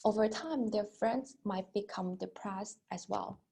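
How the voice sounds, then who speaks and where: A woman's voice: mid-range voice, unhurried, gravelly, warm tone, husky. A young woman delivering a rehearsed persuasive speech, stressing her openers and sometimes repeating a phrase as she goes. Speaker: student speaker.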